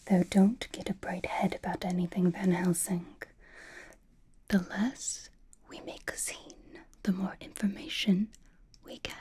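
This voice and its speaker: A woman playing an elegant, teasing female vampire noble in an ASMR roleplay, speaking in a soft, breathy whisper with a refined manner. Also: lowish voice, level tone. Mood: dry, instructing. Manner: slow, refined, wryly teasing.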